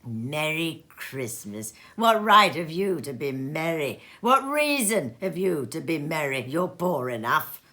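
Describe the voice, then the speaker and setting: A woman voicing a grumpy, miserly old man in a storybook reading, clipped and gruff. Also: low voice, big pitch swings, takes it slowly, few pauses, bright, forceful tone, full character voice. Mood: scornful.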